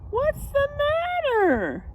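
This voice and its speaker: Lady as a friendly storybook giant guy, high swoopy singsong voice, takes it slow. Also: a little hiss or hum, full character voice. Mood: kindly concerned. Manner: singsong, expressive. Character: kind, cheery, singsong male giant in a storybook.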